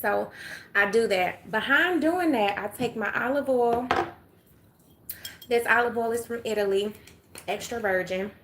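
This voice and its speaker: Older Southern woman, phone livestream host, slightly high voice, folksy chatter, faint room noise. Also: unhurried, long pauses. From Atlanta, Georgia. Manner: chatty, folksy, greets viewers, drops to a murmur at times. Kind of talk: casual livestream chat. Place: home room with sunlight.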